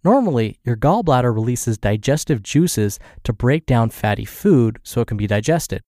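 A man hosting a podcast, reading in a measured, explanatory narration with a slightly congested, scratchy voice from a cold. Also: mid-range voice, big pitch swings, warm tone, no echo, clean studio sound. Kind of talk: scripted podcast narration, reading an article aloud.

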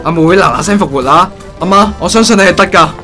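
A man's voice: sits high, quick pace, natural voice. A man playing a sardonic, take-charge young gamer, the older brother, with a Hong Kong accent in a comic audio drama. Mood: urgent, excited. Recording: music behind the voice.

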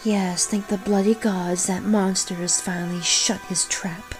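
A cruel royal guard from a fantasy audio drama acted by a woman. She sneers slowly in a mid-pitched female voice. Music plays clearly behind her. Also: little pitch movement, close to an everyday voice, rough recording. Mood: sneering.

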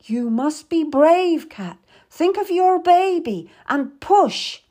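A woman's voice: high voice, animated, slow, warm tone, husky, voice pushed up, heavily acted. A woman voicing a firm, encouraging female birth attendant, coaxing and emphatic, in a historical audiobook. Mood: urging.

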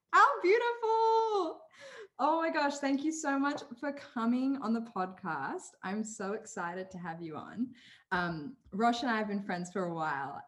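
An excitable woman hosts a casual podcast interview over a video call. She laughs often and her pitch swings widely as she talks. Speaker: podcast host.

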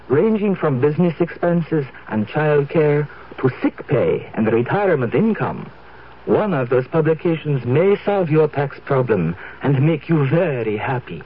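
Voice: medium pitch, big pitch swings, measured pace. A performer playing a mysterious, theatrical fortune teller in a comic radio ad sketch. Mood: mystical, assured. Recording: muffled, hissy old radio recording.

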